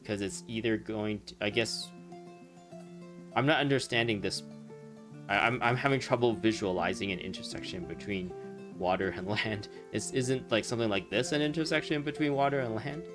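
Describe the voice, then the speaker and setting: A man's voice: on the low side, normal speed. A man giving casual, rambling game commentary in a wry, amused manner. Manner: wry, amused, chuckles while musing. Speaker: let's play streamer commenting on a game. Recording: game music playing behind. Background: video game music and dialogue.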